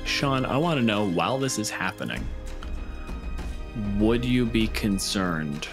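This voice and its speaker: A man's voice: on the low side, animated, measured pace, over music. A man acting as game master in a tabletop roleplaying game, talking casually with some swearing.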